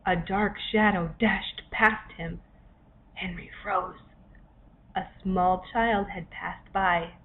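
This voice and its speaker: A woman narrating a children's story expressively, in deliberate short phrases with dramatic pauses. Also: medium pitch, fairly even intonation. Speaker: author reading own story. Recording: dull lo-fi recording, faint noise.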